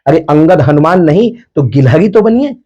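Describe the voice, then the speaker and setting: Male preacher, Chhattisgarhi accent, fairly high, long reverb, dull lo-fi sound. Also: expressive, normal speed, mellow. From Chhattisgarh, India. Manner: earnest, direct appeals to the crowd. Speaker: religious preacher. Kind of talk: sermon to a village gathering. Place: village public gathering. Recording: echoey, distant, dull sound.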